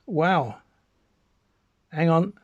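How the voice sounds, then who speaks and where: A British man with a slightly high-pitched voice, recorded dry with very little room sound. He talks like an enthusiastic hobbyist presenting a home demonstration, informal and chatty, rambling along as he explains. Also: lively intonation, drawn-out words, darkish tone, slightly rough, no room sound, highs cut off. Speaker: amateur radio enthusiast presenting a video. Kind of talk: informal product demonstration to camera.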